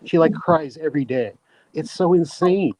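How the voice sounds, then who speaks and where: A man's voice: fairly high pitch, moderate pace, a little mellow. A man talking bluntly and opinionatedly, with some swearing, in a casual group voice chat. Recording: dull lo-fi line with faint noise.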